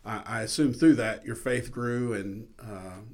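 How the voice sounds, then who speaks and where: A man co-hosting a church podcast, gently interviewing a guest with a hesitant manner. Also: medium pitch, measured pace. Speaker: podcast co-host. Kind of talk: casual interview chat.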